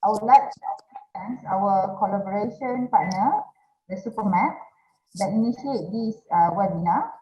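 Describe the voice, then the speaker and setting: A woman's voice: medium pitch, moderate pace. A woman giving a formal welcome speech on a webinar, hesitant with many fillers. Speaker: university welcoming speaker. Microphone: video call. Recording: roomy, lo-fi call audio.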